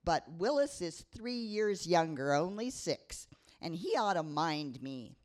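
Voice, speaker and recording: A woman voicing a bossy, bookish nine-year-old girl who narrates a story at a live reading. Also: on the low side.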